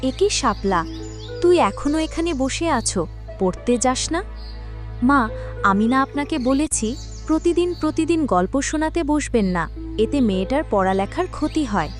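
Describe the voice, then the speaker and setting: A woman's voice: sits high, expressive, moderate pace, soft background music. A woman voicing a stern, nagging village mother in a cartoon, with an exasperated manner. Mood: scolding. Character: stern, scolding village mother, adult, worried about studies. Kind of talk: cartoon dialogue acting.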